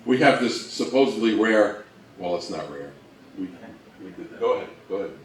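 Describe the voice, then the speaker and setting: A man presenting an informal history talk, speaking in a halting, thinking-aloud way, recorded away from the mic. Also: on the low side, expressive, distant mic. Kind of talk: informal lecture on old radio history. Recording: roomy, off-mic, faint noise.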